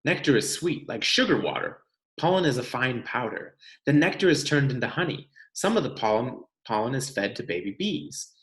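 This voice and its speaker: An American man with a medium-pitched voice reads to children from a picture book. His intonation is lively and expressive, with deliberate storyteller phrasing. The room around him adds a clear echo. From California, United States. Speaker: read-aloud host, teacher reading to children. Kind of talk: children's picture book read-aloud with casual asides. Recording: echoey room.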